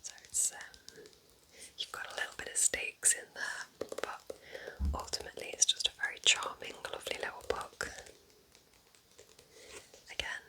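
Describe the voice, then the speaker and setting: A woman whispering gently and unhurriedly in a breathy, chatty ASMR style as she recommends books. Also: normal speed, long pauses, low-quality recording. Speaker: ASMR video creator playing a kind witch.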